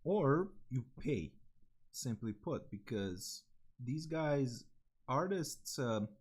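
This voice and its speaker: A man's voice: mid-range voice, animated, drawn-out words, a little mellow, husky. A young man from Serbia with a Serbian accent, chatting casually and bluntly on a stream, swearing freely with lots of fillers. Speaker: vtuber streamer. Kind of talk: casual rambling stream chat.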